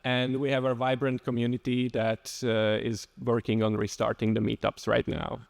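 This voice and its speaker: A man with a Czech accent gives an informal technical talk at a conference. He is plain-spoken, with a dry joking streak. His pitch sits in a middle range for a man. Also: monotone, normal speed, gravelly. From Czech Republic. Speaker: conference lightning talk speaker.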